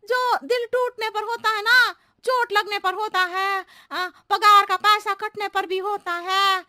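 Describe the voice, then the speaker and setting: A woman's voice: fairly even intonation, slow pace, dry recording, studio quality, heavily acted. A woman voicing a scatterbrained, chatty housemaid who answers everything in roundabout riddles, in a cartoon story. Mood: cheeky. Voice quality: very high, shrill and bright. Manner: roundabout, playful, cheeky pleading.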